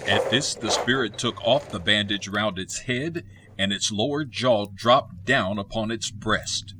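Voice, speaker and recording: A man's voice: on the low side, unhurried, sharp tone, slight background noise. A man narrating a radio play as a dramatic storyteller, theatrical and suspenseful. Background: music.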